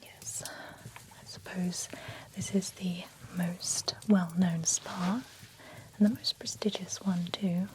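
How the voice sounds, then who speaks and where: A woman in a whispered ASMR roleplay, voicing a gossipy, envious female beautician at a spa for the undead, speaking in a soft unvoiced whisper into a close microphone. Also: lowish voice, flat delivery, slow. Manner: chatty and nosy.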